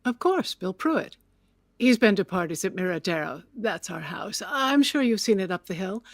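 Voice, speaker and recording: A wealthy widow of the 1920s, speaking with imperious, cutting sarcasm and easy indignation. Her mid-pitched voice sits close and dry, in the manner of a period radio play. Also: very animated, moderate pace, no room sound. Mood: haughty, suspicious. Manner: arch, sardonic, imperious. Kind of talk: period audio drama acting.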